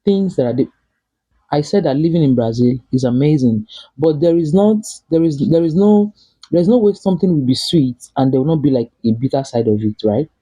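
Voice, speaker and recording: Nigerian-accented man, vlog chat, raspy edge, very mellow low tone, repeats himself for emphasis. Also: a little fast, low-quality recording. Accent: Nigerian English. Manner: chatty and emphatic, repeats and restarts phrases. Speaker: vlogger living abroad. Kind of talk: casual advice vlog.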